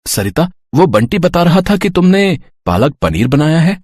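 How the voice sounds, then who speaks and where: A man's voice: on the high side, sing-song, moderate pace, a little mellow, dry recording, clean studio sound. A man playing a kindly, humble village husband and father in cartoon story dialogue. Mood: gentle.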